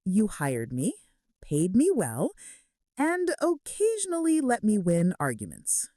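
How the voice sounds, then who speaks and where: A woman's voice: mid-range voice, wildly swooping pitch, slow, dark tone, no room sound, studio quality. A woman voicing a devoted young female assistant who speaks firmly and earnestly to her lover in a romance audiobook. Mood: teasing. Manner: fierce, firm, earnest.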